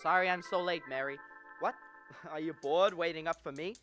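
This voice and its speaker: A young man playing an earnest, excitable, self-doubting small-town husband with halting speech in a student radio drama. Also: on the high side, soft background music, natural voice. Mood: weary, awkward. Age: young adult. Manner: halting, stammering.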